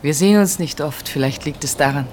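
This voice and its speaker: An actress playing a composed, direct sister-in-law, polite and reserved but pointed, in a German radio play. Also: female voice, sits low, a little fast, darkish tone, a little hiss or hum, natural voice.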